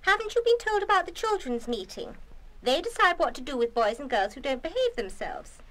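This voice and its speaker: An actress playing a calm, reasonable schoolmistress in a children's radio play, measured and patient. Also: female voice, high voice. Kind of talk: full-cast children's radio play acting.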